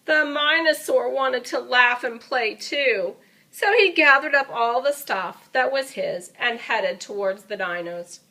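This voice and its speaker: A woman's voice: sits high, unhurried, sharp tone. A woman reading a children's storybook aloud, with dramatic emphasis and chatty asides addressed to a young listener. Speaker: storybook reader.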